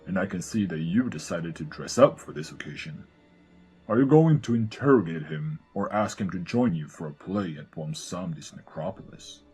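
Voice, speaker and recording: Casting: big easygoing bull-man, played by a male actor, low, husky voice, formal and gently teasing, fantasy audio drama. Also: lively intonation, moderate pace, soft background music, heavily acted. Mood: wry teasing.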